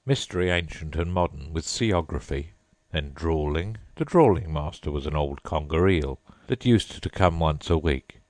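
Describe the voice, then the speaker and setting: A man voicing a melancholy, sobbing male sea creature in a storybook reading, slow and mournful. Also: rumbling low, animated, darkish tone, no room sound.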